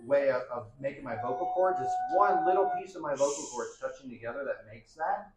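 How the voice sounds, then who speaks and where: A man with a low bass voice explaining singing registers to a class, ending his points with "right?" and demonstrating as he goes. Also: lively intonation, brisk. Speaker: singer demonstrating technique. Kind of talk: explaining with sung examples. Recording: roomy, dull sound with faint background noise.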